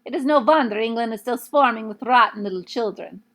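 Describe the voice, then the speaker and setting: Lady putting on a bossy gloating storybook witch, highish voice, really theatrical and emphatic. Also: brisk, fairly bright tone, close to an everyday voice. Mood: scornful. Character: imperious Grand High Witch, gloating and child-hating. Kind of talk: children's storybook character voice.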